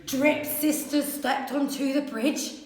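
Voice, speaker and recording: A woman teacher reading a children's story aloud in an expressive, theatrical, deliberate way. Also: high voice, slight background noise. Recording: very echoey room, slightly distant mic.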